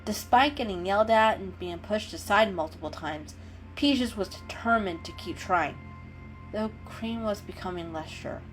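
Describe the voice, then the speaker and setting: A woman's voice: mid-range voice, moderate pace, rough recording. A woman giving a dramatic storybook narration as a storyteller. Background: music.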